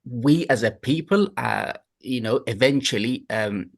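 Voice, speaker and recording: A man from Afghanistan speaking in a group discussion, halting and repeating words. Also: mid-range voice, creaky. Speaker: discussion participant.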